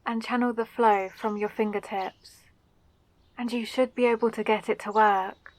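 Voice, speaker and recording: A woman playing a kind, eager mage who teaches magic gently and encouragingly in a roleplay audio drama. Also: a little high, steady tone, normal speed, dry recording, lightly acted, poor audio.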